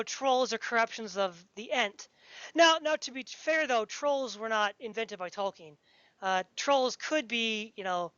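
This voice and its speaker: Woman, higher-pitched, opinionated and emphatic conversational delivery with a pedantic streak; dry, slightly muffled lo-fi sound. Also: fairly bright tone, smooth, no room sound. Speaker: podcast speaker discussing fantasy. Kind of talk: opinionated casual discussion.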